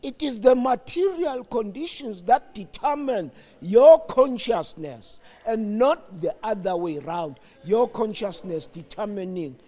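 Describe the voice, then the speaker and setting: An older man with a South African accent in a reflective political discussion, deliberate and halting, with emphatic stresses. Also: high-pitched, animated, dark tone. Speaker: interview guest.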